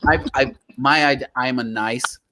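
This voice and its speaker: A man's voice: mid-range voice, unhurried, sharp tone, a little hiss or hum. A young man with a Texan drawl, performing spoken word poetry and chatting casually on a live video call, slipping into Spanish. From Texas. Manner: dramatic rhythmic delivery mixing Spanish. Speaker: poet and show host.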